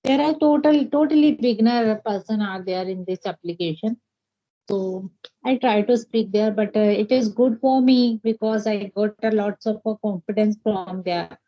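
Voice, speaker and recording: A woman's voice: mid-range voice, moderate pace. Hesitant woman, muffled call audio. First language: Hindi. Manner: hesitant, many fillers and restarts. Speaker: English learner, homemaker. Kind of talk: casual conversation practice.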